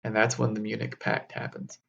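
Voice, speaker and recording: A man's voice: on the low side, completely flat delivery, normal speed, creaky, a bit bright, slight background noise. A man teaching a history class in a folksy, conversational way, asking rhetorical questions as he tells stories. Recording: dull lo-fi classroom recording.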